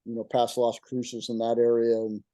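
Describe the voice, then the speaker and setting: A man's voice: mid-range voice, completely flat delivery, normal speed, mellow, no room sound. A man in his sixties from Texas, telling running stories in a rambling, stop-start way. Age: 60s. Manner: rambling, stop-start storytelling with frequent restarts. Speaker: guest ultrarunner. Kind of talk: casual chat.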